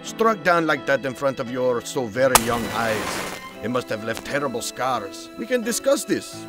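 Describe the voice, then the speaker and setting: Man acting genteel older psychoanalyst, male, musing, formal, lively intonation, motion-comic drama, music underneath. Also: mid-range voice, pitched above the performer's own voice, natural voice. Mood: sympathetic, gentle. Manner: measured, musing, formal foreign-sounding phrasing.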